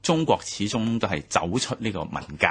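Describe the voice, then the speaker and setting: A man with a Hong Kong Cantonese accent co-hosting a radio history discussion, brisk and lively as he explains. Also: lowish voice, breathy edge. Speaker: radio co-host. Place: radio studio.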